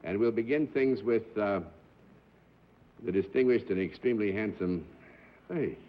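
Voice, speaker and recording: A man moderating a television panel show, with polished, courtly and gently wry hosting. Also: lowish voice, normal speed, slight background noise. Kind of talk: formal, genial game-show hosting. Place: television studio with live audience. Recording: dull 1960s television broadcast audio.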